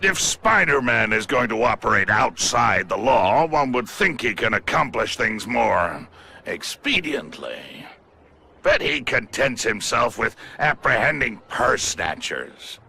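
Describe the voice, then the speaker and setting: A smug, self-important tycoon in a video game cutscene. His delivery is sharp and forceful, with deliberate slow phrasing and a gravelly edge. He speaks formally, dripping with contempt and menace. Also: mid-range voice, sing-song, a little hiss or hum, heavily acted. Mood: disdainful.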